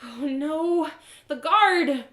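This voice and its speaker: A woman voicing an excitable, earnest young girl adventurer in a storybook, breathless and exclaiming. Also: sits high, very slow, pitched above the performer's own voice, exaggerated voice. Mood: panicked. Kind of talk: dramatic first-person storybook acting. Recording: roomy echo.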